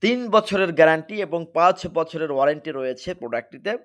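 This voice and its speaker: A man with a Bangladeshi accent presents a product as its seller. His voice sits somewhat high for a man. He explains in an emphatic, repetitive way, driving each selling point home. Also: lively intonation, fast talker, a bit bright. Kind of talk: sales pitch, product demonstration.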